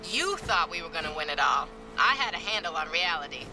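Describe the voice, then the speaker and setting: An actress playing a cocky young street racer and gearhead in a game cutscene, bantering in a wry, teasing way. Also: female voice, low voice, sing-song, brisk, low-quality recording. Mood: nostalgic, playful. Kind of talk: naturalistic game cutscene dialogue. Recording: music behind the voice.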